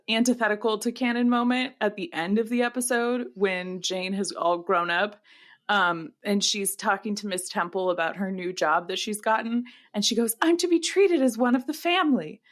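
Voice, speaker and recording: A woman's voice: medium pitch, moderate pace, fairly bright tone. A woman co-hosting a podcast, giving wry, opinionated takes in a casual chat. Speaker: podcast co-host. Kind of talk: casual chat reviewing a screen adaptation.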